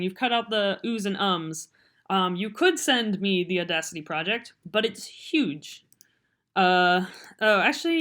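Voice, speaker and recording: A woman talks through a software tutorial in a casual, unscripted, rambling and self-deprecating way. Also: mid-range voice. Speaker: podcast editor giving a software tutorial. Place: voice-over on a computer screen recording.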